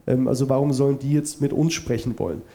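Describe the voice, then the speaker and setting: A man's voice: mid-range voice, steady tone, fast talker, dark tone. A man with a cold presenting at a conference and answering audience questions, with many fillers and restarts. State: has a cold.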